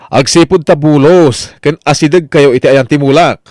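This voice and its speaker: An actor playing an exasperated, grumbling farmer in a comic radio drama, speaking with an Ilocano accent. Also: male voice, on the high side, normal speed, darkish tone, dry recording, studio quality, natural voice. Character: exasperated male farmer pleading with stubborn neighbours.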